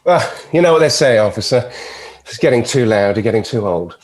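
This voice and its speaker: An actor playing a suave, mischievous devil with a silky, teasing, theatrical manner at a table read. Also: male voice, mid-range voice, lively intonation, fairly quick, darkish tone, lightly acted. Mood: playful. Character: suave, mischievous devil, a retired Lord of Hell.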